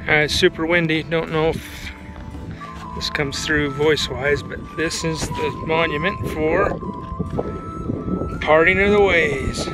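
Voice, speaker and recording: A man's voice: fairly high pitch, steady tone, slow, long pauses, music in the background. A man in his forties chatting easily while driving and exploring, chuckling often as he points things out. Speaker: travel vlogger. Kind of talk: casual road trip commentary. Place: inside a pickup truck, then outdoors on windy open plain. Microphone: camera on dashboard and handheld selfie. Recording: noisy car and wind background.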